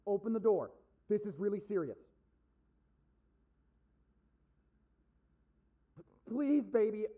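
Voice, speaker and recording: A young man playing a gossipy, affectionate popular boyfriend in an audio roleplay, with a theatrical, teasing manner and drawn-out emphasis. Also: sits high, lively intonation, dry recording, phone-quality sound. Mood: urgent pleading. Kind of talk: dramatic boyfriend audio roleplay, addressed to listener.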